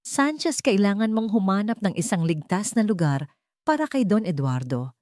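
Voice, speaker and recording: A woman with a Filipino accent voicing a calm but urgent grandmother in an audio story. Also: mid-range voice, normal speed, dry recording, clean studio sound, pitched above the performer's own voice, close to an everyday voice. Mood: calm urgency. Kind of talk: audio story character lines.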